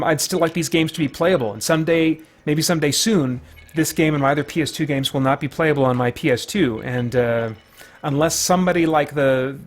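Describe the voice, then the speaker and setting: A man hosting a live game stream in casual chat, rambling and thinking aloud. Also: medium pitch.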